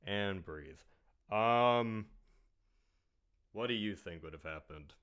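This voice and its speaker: Low-voiced man drawling through podcast chat. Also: slow pace, fairly bright tone, clean tone. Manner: drawling, trails off into mumbles. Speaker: podcast host.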